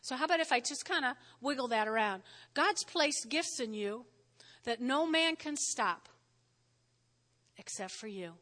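A woman pastor with a New Jersey accent, preaching a sermon in a lively, conversational way and often checking in with "all right?". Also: high voice, unhurried, long pauses. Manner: lively, spells words out, frequent "all right?" check-ins. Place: church sanctuary. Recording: long hall reverb.

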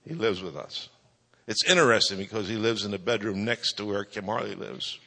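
A man's voice: fairly low pitch, brisk, vocal fry, pushed and bright. A man speaking to a church congregation, giving a prayer update and introducing his wife, with a jokey manner. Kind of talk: church announcements. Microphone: microphone. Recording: church hall reverb.

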